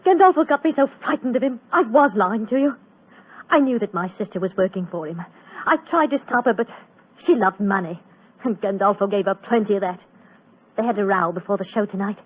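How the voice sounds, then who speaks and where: An actress in a 1940s radio drama playing a frightened, defiant young magician's assistant, curt and emotional. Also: female voice, medium pitch, fairly quick, phone-quality sound, lightly acted. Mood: frightened, pleading. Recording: thin, band-limited old radio recording.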